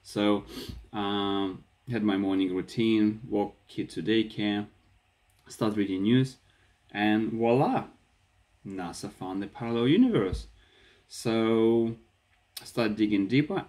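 A man's voice: on the low side, unhurried. A man in his thirties with a Ukrainian accent, talking to a phone camera in a small room and gesturing as he speaks. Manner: animated, gestures with his hands while talking. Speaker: vlogger. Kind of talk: casual talk to camera. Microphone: phone camera at arm's length.

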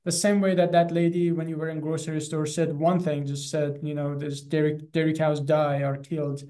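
A man in his thirties with an Iranian accent, giving an earnest, halting personal account as an interview guest on a video call. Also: a little high, little pitch movement, warm tone. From Iran. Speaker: animal rights activist and guest. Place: small classroom in front of a chalkboard. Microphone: video call, close to computer.

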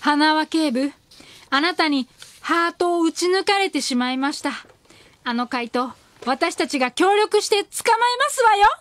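A woman's voice: extremely high pitch, expressive, measured pace, a bit bright, slight background noise, exaggerated voice. A woman playing a genteel, lovestruck rich young lady with affected, refined speech in a comic radio drama. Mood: smitten.

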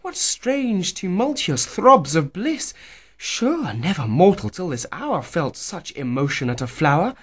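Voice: mid-range voice, big pitch swings, measured pace, slightly rough, voice pushed up. A reader voicing a swooning young woman in a pastoral love poem, with rapturous, breathless speech and whispered gasps. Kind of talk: dramatic poetry reading.

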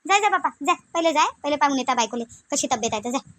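A high-pitched cartoon matriarch played by a woman with a Varhadi Marathi accent. She is proud, nagging and quick with sarcasm. Every remark comes out like a scolding from the head of a village household. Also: lively intonation, a little hiss or hum. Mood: mocking. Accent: Varhadi Marathi of Vidarbha. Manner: sharp-tongued, nagging, sarcastic. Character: proud, scolding, sarcastic middle-aged mother-in-law. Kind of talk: comic village family cartoon dialogue.

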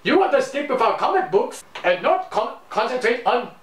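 A narrator voicing an exasperated, excitable young man in a homemade story reading. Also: medium pitch, moderate pace, roomy sound, far from the mic, pitched above the performer's own voice, lightly acted. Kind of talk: amateur fan-fiction story reading.

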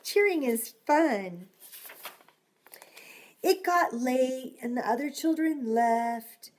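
Middle-aged female storytime reader, high voice, unhurried, encouraging and chatty with little listeners. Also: animated, a little mellow. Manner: encouraging, asking the listener simple questions. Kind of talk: read-aloud storytime with chatty asides to young children. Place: small home room, indoors.

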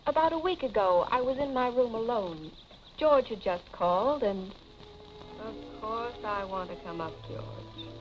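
An actress playing a cool, sardonic young wealthy wife, in dry, arch 1940s Hollywood film style. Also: female voice, fairly high pitch, animated, unhurried, highs cut off. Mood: coolly accusing, then gushing. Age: young adult. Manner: arch, dry, clipped irony. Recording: old film soundtrack, music behind.

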